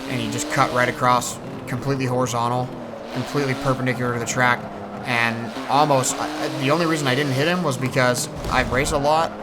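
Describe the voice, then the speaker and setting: A man gives an off-the-cuff voice-over for a gaming video. His voice sits at an average pitch and he rambles along in a laid-back, hesitant way. Very loud car sounds from a racing game run behind him. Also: fairly even intonation, quick pace, heavy background noise. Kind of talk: casual rambling voice-over commentary.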